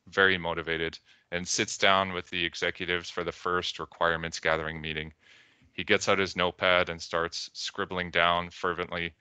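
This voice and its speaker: Canadian guy doing a conference talk, deep but bright and clear, tells it like a story. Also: no echo. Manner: storytelling with quoted voices. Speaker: conference speaker. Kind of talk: presentation talk.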